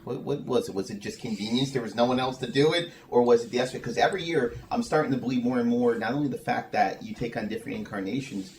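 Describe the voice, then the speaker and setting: A man's voice: mid-range voice, fast, few pauses, darkish tone, poor audio. A man hosting a casual podcast interview, talking in a wordy, emphatic way with repeated phrases. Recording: noticeable background room noise.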